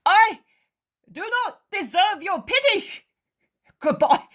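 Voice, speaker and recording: A woman reading aloud who voices a rude, defiant young apostle, shouting in a high, strained voice. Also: lively intonation, slow, full character voice.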